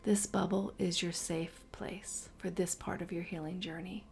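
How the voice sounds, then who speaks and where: A woman narrating a guided meditation slowly and soothingly, her breathy voice often dropping toward a whisper. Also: on the low side, steady tone, a little mellow, faint music behind.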